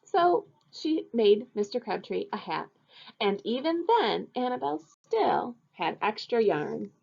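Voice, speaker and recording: A woman's voice: a little high, sing-song, unhurried, vocal fry, a little mellow, breathy edge. A woman who teaches first grade, reading a picture book aloud expressively for children. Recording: dull lo-fi audio.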